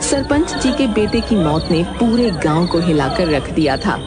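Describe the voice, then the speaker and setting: Lowish-voiced woman narrating horror. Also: very animated, moderate pace, music in the background. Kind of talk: scripted horror story narration.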